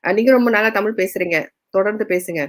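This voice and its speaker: Very rapid, bubbly woman. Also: medium pitch. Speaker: radio presenter. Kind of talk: casual video-call chat.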